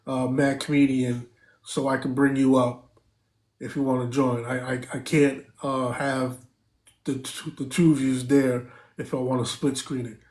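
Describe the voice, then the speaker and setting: A man's voice: medium pitch, level tone, a little slow, a little mellow. A man hosting a live stream, chatting casually, thanking viewers and stopping often with fillers.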